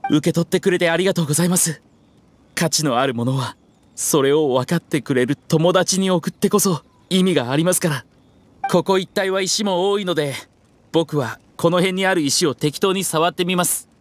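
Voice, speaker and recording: An actor playing a polite, earnest young man in an anime game, gentle and courteous. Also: on the high side, normal speed, lightly acted. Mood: gentle, content.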